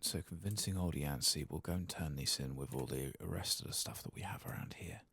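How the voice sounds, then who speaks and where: Low, gravelly soft-spoken man. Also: no echo. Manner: murmured, unhurried, reading quest text aloud. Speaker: ASMR gaming channel host. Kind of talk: soft-spoken, partly whispered game playthrough commentary.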